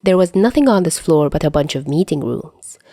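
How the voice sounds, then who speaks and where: Mellow, lively female story narrator. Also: low voice, moderate pace, dry recording, studio quality. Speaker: podfic narrator. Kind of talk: expressive audiobook-style story narration.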